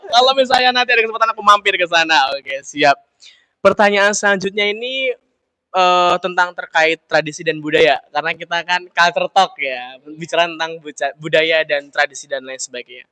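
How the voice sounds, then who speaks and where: A man's voice: sits high, moderate pace, bright, forceful tone, no echo. A young man from Solo hosting a casual interview, upbeat and chatty, with a habit of saying "oke, siap". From Solo, Central Java. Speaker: interviewer host.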